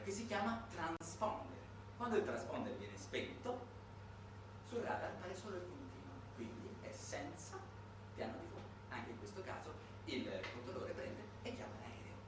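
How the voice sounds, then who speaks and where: A man performing a theatrical narrative monologue in a conversational way, emphatic, with dramatic pauses and repetitions. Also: on the high side, recorded from a distance. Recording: echoey, noisy room recording from afar.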